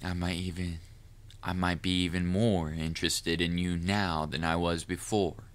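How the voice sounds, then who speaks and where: A man playing a proud, cunning, lovestruck assassin in an audio roleplay, speaking formally and deliberately to the listener with quiet menace. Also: deep voice, little pitch movement, clear, resonant tone, close to an everyday voice. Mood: rueful, intrigued. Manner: formal wording, deliberate, measured. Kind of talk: scripted audio roleplay monologue addressed to the listener.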